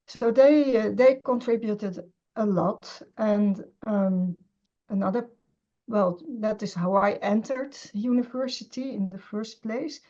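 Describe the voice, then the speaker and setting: A middle-aged woman, a scholar of Semitic languages, speaking in an interview with many pauses, fillers and restarts. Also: medium pitch, warm tone. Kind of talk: conversational interview. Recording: dull lo-fi sound.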